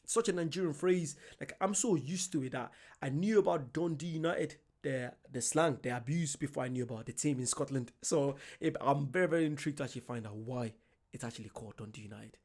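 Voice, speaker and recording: A young man, doing an excited, chatty reaction vlog and laughing often. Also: medium pitch, a little fast. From Ireland. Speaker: reaction vlogger. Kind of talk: casual reaction commentary.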